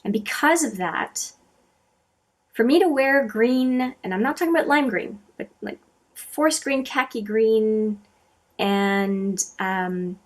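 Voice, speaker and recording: A woman's voice: a little high, expressive, takes it slowly. A middle-aged woman from Canada, whose first language is French, chatting casually to camera as she answers viewer questions, with many fillers and restarts. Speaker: makeup channel host.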